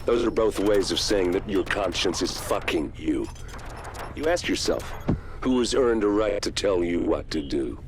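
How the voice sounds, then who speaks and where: An actor playing a cynical rogue agent and calmly persuasive game villain, speaking in a smug, insinuating, deliberate way. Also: male voice, deep voice, background noise. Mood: boastful, taunting. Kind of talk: dramatic game cutscene monologue.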